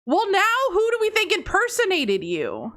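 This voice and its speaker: A woman's voice: huge pitch swings, normal speed, a bit bright. A woman streamer chatting casually with her chat, teasing and laughing often, with a congested nose. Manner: playful, teasing, laughs often, swings between high squeals and low mutters. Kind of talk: casual live-stream chat reading confessions.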